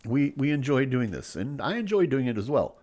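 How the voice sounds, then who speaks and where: A man's voice: medium pitch, a little fast. A man with a head cold hosting his live stream, chatting casually and jokingly as he reads out viewer comments. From Chicago area. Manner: jokey, playful, animated delivery with sudden loud bursts.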